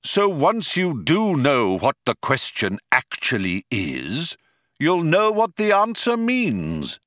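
A man voicing a patient, mild supercomputer in an audiobook, speaking in a measured, grandly declamatory manner. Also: fairly high pitch, wildly swooping pitch, a bit bright, no echo, studio quality, pitched above the performer's own voice, full character voice.

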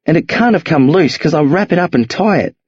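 A man voicing a blunt, streetwise teenage boy who talks urgently and insistently in a husky voice. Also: fairly high pitch, animated, a little fast, a little mellow, dry recording, dull audio, pitched above the performer's own voice. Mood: impatient. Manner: urgent, insistent, rough slang.

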